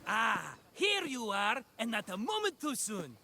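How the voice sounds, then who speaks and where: An actor playing a flamboyant, scheming middle-aged merchant, a cheerful smooth-talker with a theatrical, wheedling manner in a video game cutscene. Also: male voice, high-pitched, huge pitch swings, measured pace, music in the background, heavily acted.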